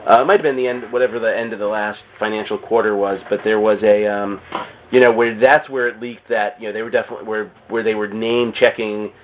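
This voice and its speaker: A man's voice: fairly low pitch, fairly even intonation, quick pace, a little hiss or hum. Man on thin, phone-like audio. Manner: rambling, jokey, self-interrupting. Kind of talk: casual comics and film chat.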